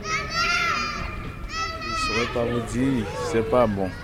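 A man's voice: mid-range voice, fairly even intonation, slow pace. A man giving his opinion off the cuff as a street interviewee. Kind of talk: spontaneous opinion. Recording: faint outdoor street noise. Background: street and vehicles.

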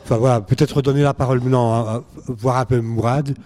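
Male interviewer, mellow tone, rambling, filler-heavy. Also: mid-range voice, fairly even intonation, fast. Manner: rambling questions full of fillers. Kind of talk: casual interview.

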